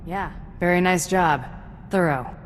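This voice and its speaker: A woman's voice: lowish voice, a little slow, big-room sound, slight background noise, natural voice. A woman playing a blunt, tough bounty hunter in a video game, terse, dry and no-nonsense. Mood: dry, casual. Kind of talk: video game cutscene acting.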